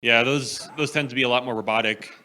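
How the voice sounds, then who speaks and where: A man's voice: medium pitch, steady tone, fast, bright, forceful tone. A man commenting casually from the audience of a class. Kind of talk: casual discussion.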